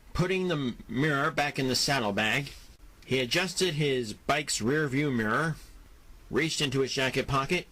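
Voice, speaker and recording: A man's voice: medium pitch, pushed and bright, rough recording. A man narrating a scripted action audio drama as its storyteller. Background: music.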